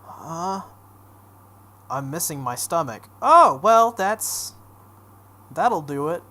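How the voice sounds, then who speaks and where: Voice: mid-range voice, very animated, slow pace, sharp tone. A let's play host reading visual novel text aloud dramatically, breaking off into casual reactions.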